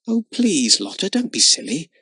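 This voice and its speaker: A man's voice: high voice, wildly swooping pitch, moderate pace, sharp tone, breathy edge, no room sound, studio quality, pitched above the performer's own voice, full character voice. A man voicing an eager, kind-hearted young circus boy in a storybook reading. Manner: earnest and pleading.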